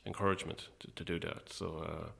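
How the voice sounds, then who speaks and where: A middle-aged man with an Irish accent speaks in a very low voice with a creaky, gravelly edge. He chats informally as an interview guest, in a modest, self-deprecating way. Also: flat delivery, brisk, bright, forceful tone. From Ireland. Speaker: guest, playwright and actor. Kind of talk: casual interview chat.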